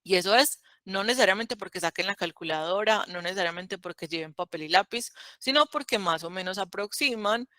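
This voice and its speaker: A woman's voice: mid-range voice, animated, a little fast, hardly any pauses, pushed and bright, no echo, clean studio sound. Chatty woman teaching math. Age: 30s. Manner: conversational, checks with "¿cierto?". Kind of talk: online class lecture.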